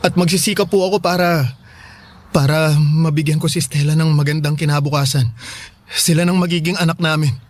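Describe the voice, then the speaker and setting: A man playing an earnest, anxious young father in a Filipino radio drama, speaking in a halting, pleading way. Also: a little high, fairly even intonation, dry recording, natural voice. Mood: nervous, pleading.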